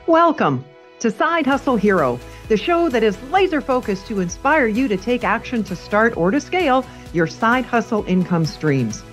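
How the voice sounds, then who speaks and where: A woman's voice: on the high side, sing-song, normal speed, few pauses, faint music behind. A woman hosting a podcast, reading an upbeat scripted introduction and then asking interview questions. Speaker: podcast host.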